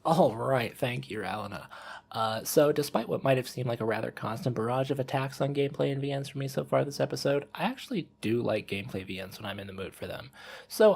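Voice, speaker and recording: A man's voice: mid-range voice, lively intonation, fast, vocal fry, husky. A man co-hosting a podcast, talking casually and giving a prepared review with playful asides. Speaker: podcast co-host.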